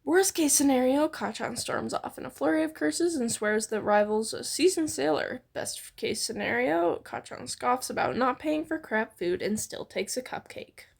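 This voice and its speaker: A woman's voice: sits high, lively intonation, moderate pace, vocal fry, few pauses. A woman co-narrating fan fiction in an informal, playful storytelling read. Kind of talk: expressive fan fiction reading.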